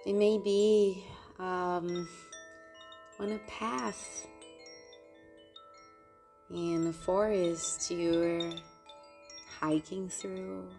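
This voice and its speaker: A woman hosting a guided meditation, speaking gently and unhurriedly, with long pauses between phrases. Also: fairly low pitch, little pitch movement, vocal fry. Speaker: meditation guide and podcast host. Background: meditation music with soft chimes.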